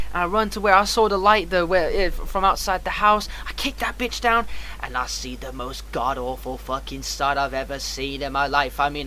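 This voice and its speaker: Bright-voiced man telling a profane story. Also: sits high. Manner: profane, emphatic, slangy. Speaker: streamer. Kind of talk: casual anecdotal storytelling.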